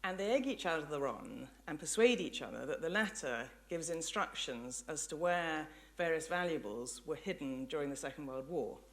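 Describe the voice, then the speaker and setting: A woman lecturer speaks in a measured, articulate way. She explains ideas and tells illustrative stories as a professor would to the public. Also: low voice, lively intonation, a bit bright.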